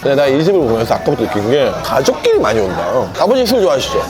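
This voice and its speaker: A man's voice: medium pitch, wildly swooping pitch. A man chatting casually with a friend over a restaurant meal, joking playfully and full of wordplay. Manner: joking, playful, does quick impressions. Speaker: co-host. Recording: restaurant crowd and music behind.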